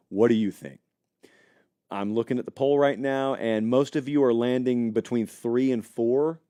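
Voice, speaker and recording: A man hosts a live sports talk show, his pitch swinging up and down as he muses and argues points with viewers. His voice is warm and mellow, recorded dry and close in a studio. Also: no room sound. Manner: animated, conversational, speculative, addresses live chat. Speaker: college football show host.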